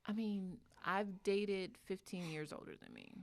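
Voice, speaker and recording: Woman, 40s, podcast co-host. Blunt, emphatic, quick to laugh. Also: mid-range voice, vocal fry. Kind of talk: casual chat.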